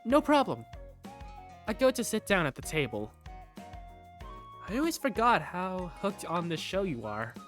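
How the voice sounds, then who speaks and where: A woman's voice: fairly low pitch, very animated, measured pace, pauses often, soft background music. A woman playing an easygoing young protagonist, narrating and speaking in a visual novel fandub in a casual, wry, playful way. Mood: amused.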